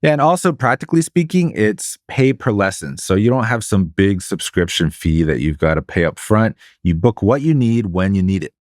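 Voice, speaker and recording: Low-voiced man explaining on a podcast. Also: lively intonation, moderate pace, few pauses, no echo, studio quality. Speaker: podcast co-host. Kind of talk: casual podcast chat.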